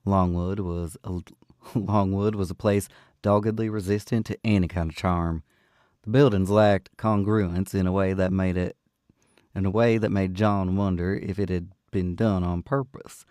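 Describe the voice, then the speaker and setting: Deep-voiced man, host and narrator. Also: steady tone, measured pace, a little mellow, smooth, dry recording, clean studio sound. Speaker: audiobook narrator and panel host. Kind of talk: expressive literary narration.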